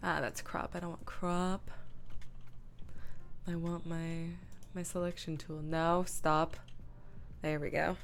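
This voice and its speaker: A woman hosting a livestream, casually talking through her computer work and half muttering to herself, with soft music behind her. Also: deep voice, flat delivery, slow, pauses often, a little hiss or hum. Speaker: livestream host. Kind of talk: casual livestream chat narrating image editing. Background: lo-fi music, computer keyboard typing.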